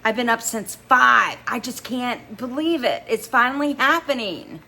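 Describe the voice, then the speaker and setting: A woman's voice: lively intonation, unhurried, creaky, bright, forceful tone, full character voice. A woman voicing an elated young girlfriend in a storybook reading, squealing and gushing with enthusiasm. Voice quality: high, squealing.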